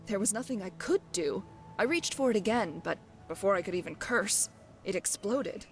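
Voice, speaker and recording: A woman's voice: medium pitch, expressive, moderate pace, soft background music, natural voice. A woman playing a brash, wry undying thief and adventurer as a companion in a fantasy video game, speaking theatrically. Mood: grim storytelling. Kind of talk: voiced game dialogue.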